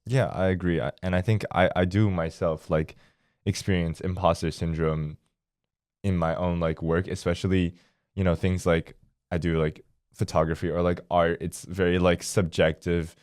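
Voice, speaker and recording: A man's voice: low voice, level tone, normal speed, darkish tone, no room sound, clean studio sound. A teenage boy co-hosting a student podcast, talking thoughtfully and hesitantly in casual chat. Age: teens. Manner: thoughtful, hesitant, many likes.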